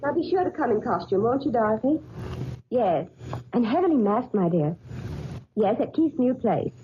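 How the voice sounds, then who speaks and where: A glamorous, flirtatious wife, played by a woman in a vintage radio play. Her voice is bright-pitched and very lively. The recording is muffled and hissy. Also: moderate pace, natural voice. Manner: sweet, flirtatious, endearments. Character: glamorous unfaithful wife, affectionate. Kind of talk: 1940s radio acting.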